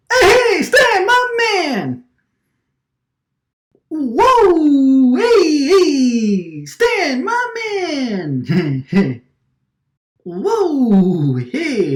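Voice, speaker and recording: A woman plays a brash vacuum-faced wiseguy from a radio drama, pitched high and swinging wildly. He is agitated and indignant, stammering and hammering on his phrases. The recording sounds like an echoey room. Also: full character voice. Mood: excited pleading, then amused greeting. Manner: agitated, stammering, repeating lines emphatically. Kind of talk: radio drama line takes, gangster-movie wiseguy delivery.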